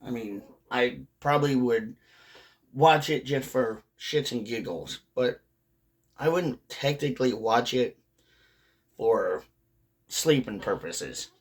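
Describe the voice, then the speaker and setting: A man with a very slow, drawn-out delivery and a medium-pitched voice talks casually to his viewers. He rambles through his commentary with pauses and the odd curse. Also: animated, breathy edge. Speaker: video host, hobby commentator.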